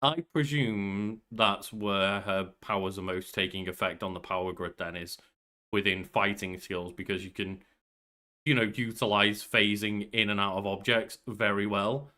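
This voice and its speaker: A man's voice: fairly low pitch, fairly even intonation, unhurried, pushed and bright. A man, around his thirties, musing and rambling casually as a podcast co-host. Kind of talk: casual banter.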